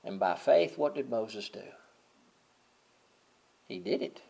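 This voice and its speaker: A middle-aged man preaching a sermon, talking casually and then swelling loud and high on key points. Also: expressive, takes it slowly, creaky, slightly rough. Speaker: pastor.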